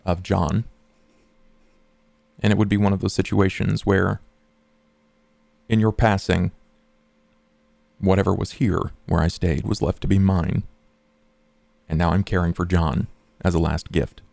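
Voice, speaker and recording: A man playing a suave, scheming and courteous confidant in a tabletop roleplay, speaking formally in wordy, measured phrases. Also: sits low, steady tone, gravelly, darkish tone, no room sound, full character voice.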